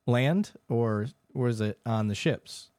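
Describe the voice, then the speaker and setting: A man's voice: mid-range voice, slow pace, darkish tone, dry recording, clean studio sound. A man talking casually as an interviewer, swapping stories in an informal, conversational way. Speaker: interviewer, Air Force veteran. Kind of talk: casual chat.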